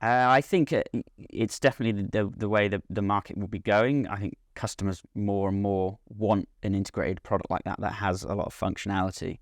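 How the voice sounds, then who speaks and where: A man speaking as a company representative in an interview, giving measured, unscripted technical explanations of a product. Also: lowish voice, steady tone, no echo, clean studio sound. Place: at a hi-fi trade show.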